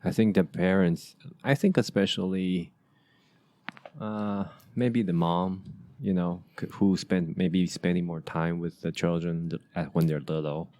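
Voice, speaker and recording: Low-voiced halting man. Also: fairly even intonation, mellow. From Asia. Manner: halting, many restarts and fillers. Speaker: podcast host. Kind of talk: casual conversation.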